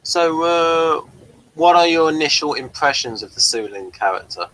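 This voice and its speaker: A man hosting a casual review discussion, speaking in a halting, hesitant way. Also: fairly high pitch, lively intonation, fairly bright tone, poor audio. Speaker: review show host.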